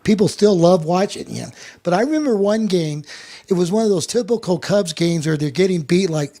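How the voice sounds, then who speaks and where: A man with a noticeably high voice hosts a casual sports talk show. He tells anecdotes like an old hand at broadcasting, often doubling back on his words as he builds a story. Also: lively intonation, normal speed, warm tone. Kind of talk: casual chat and anecdote telling.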